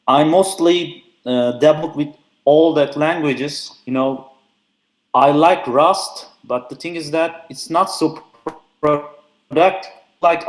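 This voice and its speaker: Chatty man giving a remote talk. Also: on the high side. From Turkey. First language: Turkish. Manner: frequent "uh" fillers, loose and chatty. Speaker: conference speaker. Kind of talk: casual technical talk. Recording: echoey remote call audio.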